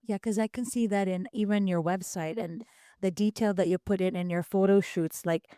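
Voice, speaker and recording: A woman's voice: medium pitch, steady tone, moderate pace, mellow, clear, resonant tone, dry recording, studio quality. A woman hosting a podcast, asking curious follow-up questions. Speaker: podcast host, interviewer. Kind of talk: interview questions.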